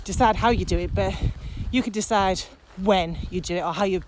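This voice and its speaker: A woman's voice: medium pitch, brisk. Woman chatting outdoors over noise. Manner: explanatory, talking while walking. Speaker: podcast co-host. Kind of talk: casual conversational podcast discussion. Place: outdoors, on a village walk. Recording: noisy outdoor recording with ambient sound.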